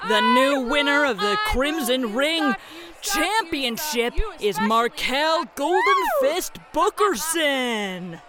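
An actor playing an excitable ring announcer, shouting drawn-out calls in an audio comedy. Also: male voice, extremely high pitch, exaggerated voice. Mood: bombastic.

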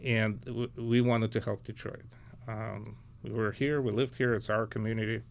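Man, middle-aged, gravelly, earnest interview guest, reflective. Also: fairly low pitch, level tone, moderate pace. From Ukraine. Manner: earnest, reflective, searching for words. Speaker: guest, nonprofit founder. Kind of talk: conversational interview.

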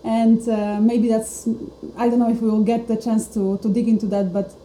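A woman's voice: fairly high pitch, level tone, normal speed, warm tone. A woman from Poland, a startup co-founder, speaking earnestly as a podcast guest. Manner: reflective, earnest. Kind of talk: casual podcast chat. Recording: roomy sound with faint noise.

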